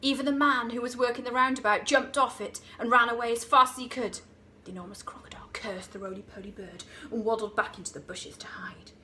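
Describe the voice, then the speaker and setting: Lady teacher reading a kids story, high voice, really expressive, slight background noise. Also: fast, few pauses. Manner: expressive, dramatic emphasis. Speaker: infant school teacher reading to her class. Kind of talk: animated children's story reading.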